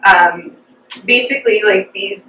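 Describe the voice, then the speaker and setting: A woman's voice: medium pitch, a little slow, sharp tone. A young American woman, an interview guest, chatting reflectively about her life with many fillers. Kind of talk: casual personal storytelling. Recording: roomy echo, distant from the mic.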